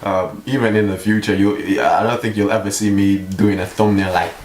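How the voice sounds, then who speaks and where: Young man with a mellow, low voice. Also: steady tone, moderate pace. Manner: rambling, joking, self-mocking. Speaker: vlogger. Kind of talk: casual vlog monologue. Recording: echoey room with faint hiss.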